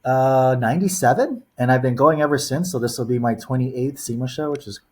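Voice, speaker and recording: Guy in his 40s on a podcast, rambly and chill, plenty of ums and you-knows, middle pitch. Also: a little fast, darkish tone, husky. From born in the Philippines, raised in Los Angeles. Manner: rambling storyteller, heavy on "you know" and "um". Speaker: guest. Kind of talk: casual podcast interview.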